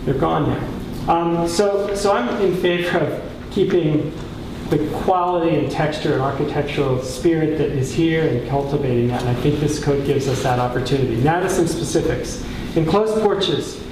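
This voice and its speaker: A soft-voiced man addresses a council meeting in a slightly high register. He is thoughtful and halting, often searching for the right word. The room is very reverberant, with clear noise behind him and the microphone some way off. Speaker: borough councilor speaking at a caucus meeting. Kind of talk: extended remarks at a public meeting. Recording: echoey room, distant mic, clear background noise.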